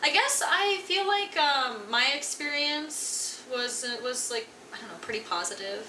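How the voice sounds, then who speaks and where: A woman's voice: sits high, measured pace. A young woman musician from Colorado, chatting casually in an interview with lots of "like" fillers. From Colorado, USA. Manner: relaxed, filler-heavy, frequent "like". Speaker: guest musician. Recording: echoey room, distant mic, noisy.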